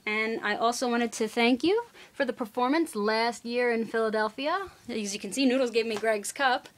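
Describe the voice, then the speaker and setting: A woman's voice: a little high, moderate pace. Earnest young woman fan message. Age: young adult. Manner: earnest, rambling with fillers. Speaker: fan sending birthday wishes. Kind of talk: casual fan video message.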